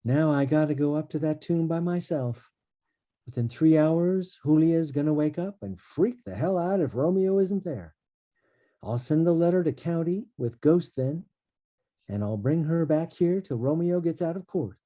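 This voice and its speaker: A streetwise man who mentors the young, played by an actor, worries aloud in a very dark, soft-edged voice. The pitch swings with the poetic stage lines, heard over a video call. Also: lively intonation, normal speed, natural voice. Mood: worried, resolute. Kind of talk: table read of a stage play.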